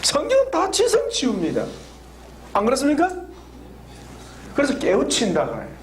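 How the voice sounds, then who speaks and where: High, animated male preacher. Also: normal speed, a little hiss or hum. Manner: lively, laughing often, asks the congregation questions. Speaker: pastor. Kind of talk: sermon with call and response. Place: church hall with congregation.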